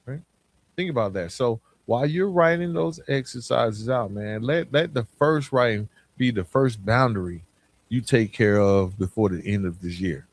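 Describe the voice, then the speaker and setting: A man's voice: lowish voice, a little mellow. An American man hosting a podcast, delivering an informal motivational monologue that addresses the listener directly with rhetorical questions. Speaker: podcast host.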